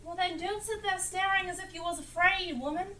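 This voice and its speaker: A woman's voice: very high-pitched, brisk, bright, forceful tone. A woman playing a brazen, callous, scoffing charwoman in an amateur stage play of a Dickens story. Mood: scornful, callous. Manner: defiant, scoffing, declamatory. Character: brazen, callous charwoman selling a dead man's belongings. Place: theatre stage, live performance. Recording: live stage recording, distant and noisy.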